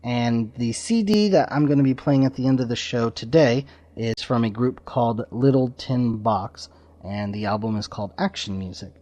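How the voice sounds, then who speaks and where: A man's voice: mid-range voice, normal speed, warm tone. A man hosting a podcast, giving casual introductions in an informal, slightly rambling way. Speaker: podcast host. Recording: slightly dull lo-fi audio.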